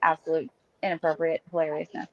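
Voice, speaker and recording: Female podcast co-host, slightly low voice, chatty, lo-fi sound. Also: flat delivery, fast talker. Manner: chatty, laughs easily, suggests ideas. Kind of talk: casual podcast chat. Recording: dull lo-fi mic.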